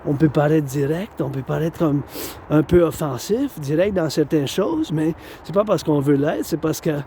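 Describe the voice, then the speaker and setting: Québécois man rambling outdoors, mellow voice. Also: a little high, normal speed, a little hiss or hum. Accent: Québécois French. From Quebec. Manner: repetitive, circling back to phrases. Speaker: vlogger. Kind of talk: rambling monologue to camera.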